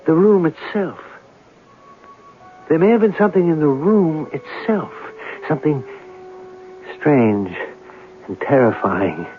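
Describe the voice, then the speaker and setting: An actor playing an inquisitive, romantic writer, probing and deliberate, in a 1970s radio mystery drama. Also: male voice, fairly high pitch, expressive, faint music behind. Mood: brooding, suspenseful. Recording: noisy, narrow-band old radio broadcast.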